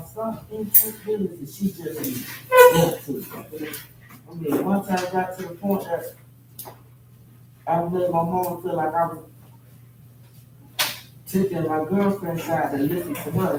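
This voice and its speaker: A man in his forties sharing family troubles in a counseling group, telling a rambling story with pauses and fillers. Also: sits high, fairly even intonation. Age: 40s. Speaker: group member. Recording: very echoey room from far off, noisy.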